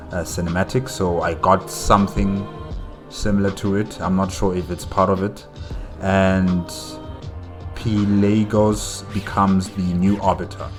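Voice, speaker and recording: A man hosting a reaction video, chatting casually and hesitantly as he wonders aloud. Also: sits low. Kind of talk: casual chat, reacting to game cutscenes.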